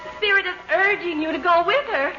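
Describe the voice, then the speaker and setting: A woman's voice: very high-pitched, brisk. A woman playing a dithery, distraught wife in a melodramatic 1940s radio comedy style. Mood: fluttery alarm. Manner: fluttery, melodramatic. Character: dithery, distraught wife of a supposedly dead man. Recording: dull vintage radio recording, music behind.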